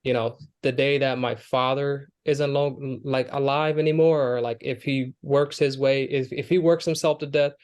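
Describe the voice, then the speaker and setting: A man's voice: mid-range voice, fairly even intonation, moderate pace, few pauses, a little mellow, clear, resonant tone. A young man from Kenya with a Somali family, answering interview questions in an earnest, conversational way, with many fillers and restarts. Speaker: guest, Air Force airman.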